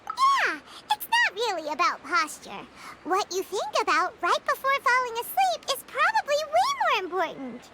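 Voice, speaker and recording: Squeaky, excitable little fairy companion, noisy capture. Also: female voice, normal speed, full character voice. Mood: earnest. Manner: perky, emphatic, refers to self by name. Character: small excitable childlike fairy companion, female, chatty, squeaky. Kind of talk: animated video game voice acting.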